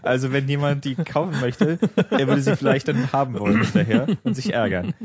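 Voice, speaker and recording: A man's voice: mid-range voice, lively intonation, brisk, a little mellow, breathy edge. A man co-hosting a casual tech podcast, explaining at length with lots of fillers. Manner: chatty, explaining with many fillers. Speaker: podcast co-host.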